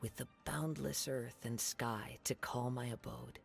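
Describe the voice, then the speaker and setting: A serene young male wanderer with a poet's measured delivery. His mid-pitched voice has a gravelly creak. Soft music plays behind his contemplative lines. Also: steady tone. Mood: serene, reflective. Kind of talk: scripted game dialogue. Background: game music.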